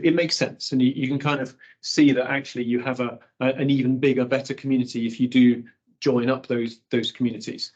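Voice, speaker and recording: A man's voice: medium pitch, a little mellow, highs cut off. Man mulling points aloud on a panel. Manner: thoughtful, repeats words while building points. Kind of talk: panel discussion answer.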